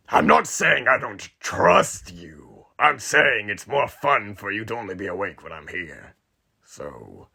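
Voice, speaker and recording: A man voicing a sinister older killer inside a haunted animatronic, rambling and theatrical with a raspy, gravelly voice, in a roleplay monologue to the listener. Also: on the low side, pushed and bright, voice pushed down, exaggerated voice. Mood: mock-friendly menace. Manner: rambling, theatrical, mock-friendly.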